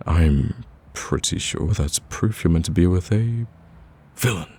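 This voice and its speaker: A man playing a smug, flirtatious villain in an ASMR roleplay, teasing the listener in a casual, drawling, gravelly voice. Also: expressive, measured pace, darkish tone, full character voice. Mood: hopeful flirting. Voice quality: deep, gravelly.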